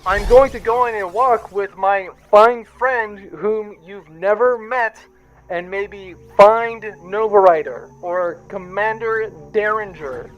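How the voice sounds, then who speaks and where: A man's voice: high voice, slow, fairly bright tone, poor audio. A man playing a hapless, sarcastic accountant aboard a privateer spaceship, talking in an exasperated, wordy way for a comic sci-fi audio drama. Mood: nervous, stilted.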